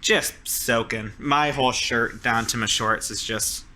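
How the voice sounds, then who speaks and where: Bright-voiced man improvising game narration. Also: mid-range voice, unhurried. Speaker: player narrating a tabletop roleplaying game.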